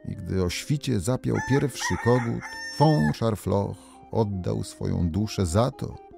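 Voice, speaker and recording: A man's voice: fairly low pitch, slow pace, creaky, over music. A man narrating folk tales as a storyteller, reading from a script. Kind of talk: scripted fairy-tale narration.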